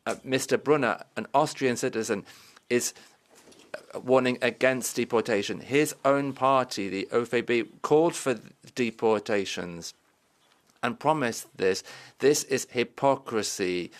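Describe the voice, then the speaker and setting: A male interpreter rendering a forceful parliamentary speech into English, with frequent restarts and fillers. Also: medium pitch, a little slow.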